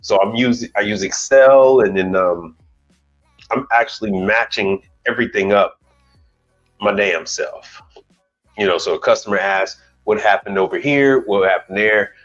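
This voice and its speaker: A man's voice: lowish voice, a little slow, fairly bright tone. A man in his forties, a commercial appliance technician hosting a casual stream, rambling and swearing lightly as he restarts his sentences. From United States. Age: 40s. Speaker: commercial appliance repair technician and channel host. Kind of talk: casual live-stream chat. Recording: dull lo-fi sound, faint music behind.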